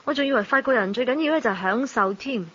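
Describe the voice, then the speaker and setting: A woman's voice: fairly high pitch, fast, a bit bright, husky, dull audio. An actress playing a spirited, teasing young woman with a barb under her politeness, in a Hong Kong Cantonese radio drama. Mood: sulky. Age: young adult. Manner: teasing, pert, pointedly sarcastic.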